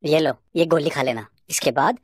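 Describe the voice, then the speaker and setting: A woman's voice: sits low, normal speed, no room sound, studio quality, pitched below the performer's own voice. A woman voicing a cocky, callous teenage schoolboy in a cartoon story. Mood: cold.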